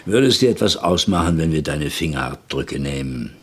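An actor playing a probing, sceptical police commissioner in a radio play, questioning curtly and sardonically. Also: male voice, extremely low pitch, lively intonation, moderate pace, breathy edge, full character voice. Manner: curt, sardonic, insistent questioning.

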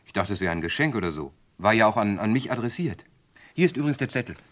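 Guy playing nervous groom in an old 60s radio play, lowish voice, hesitant, confiding in a friend. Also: animated, quick pace, close to an everyday voice. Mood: uneasy. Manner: anxious, hesitant. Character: worried bridegroom confiding in his detective friend. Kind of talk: 1960s German radio crime drama acting.

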